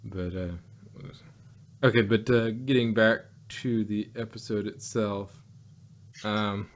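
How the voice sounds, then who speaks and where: A man co-hosting a review show in casual chat, speaking haltingly and often chuckling as he talks. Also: on the low side, steady tone. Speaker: podcast co-host reviewing audio dramas.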